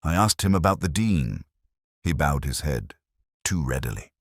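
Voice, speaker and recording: Brief: male narrator for detective drama, extremely deep, measured and literary, lively in inflection. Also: no echo, studio quality. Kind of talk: scripted first-person detective narration.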